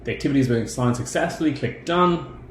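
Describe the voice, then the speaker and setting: A man in his thirties presenting a software tutorial, explaining each step in turn as he shares his screen. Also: medium pitch, fast. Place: indoor room. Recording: roomy echo, faint background noise.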